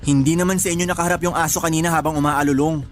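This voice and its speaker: A man's voice: fairly high pitch, fairly even intonation, racing, close to an everyday voice. A man playing a cheeky, skeptical young nephew who teases his uncle in a radio drama. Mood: offhand. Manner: teasing, offhand, shouts to shoo a dog. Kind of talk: Tagalog radio drama acting.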